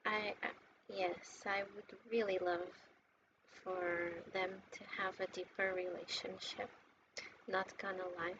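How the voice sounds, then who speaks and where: A woman giving casual, heartfelt game commentary in a gushing, sentimental and halting way. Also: mid-range voice, flat delivery, creaky. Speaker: gaming content creator. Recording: muffled lo-fi mic with noticeable background noise.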